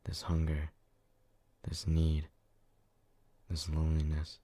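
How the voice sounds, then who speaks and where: Man acting very deep, monotone brooding yandere. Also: takes it slowly, no echo, heavily acted. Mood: brooding intensity. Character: brooding, devoted yandere man, hot-headed but tender. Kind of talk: ASMR roleplay acting.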